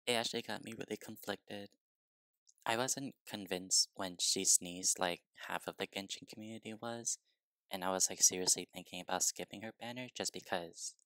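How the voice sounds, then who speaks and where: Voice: mid-range voice, pushed and bright, no echo. A young adult giving casual reaction commentary in informal, gushing fan talk. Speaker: YouTube reactor.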